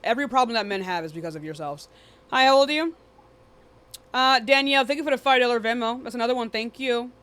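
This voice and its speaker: A woman's voice: on the high side, quick pace, fairly bright tone, smooth. A woman hosting a live debate stream in a casual, blunt, dismissive and often profane way. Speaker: livestream debate host.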